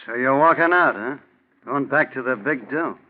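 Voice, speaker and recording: A man's voice: mid-range voice, moderate pace, natural voice. An actor playing a menacing, bossy crook in a 1940s radio crime drama, curt and threatening in tough-guy slang. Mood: accusing. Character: menacing, bossy male crook leading a robbery. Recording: muffled, noisy 1940s radio recording.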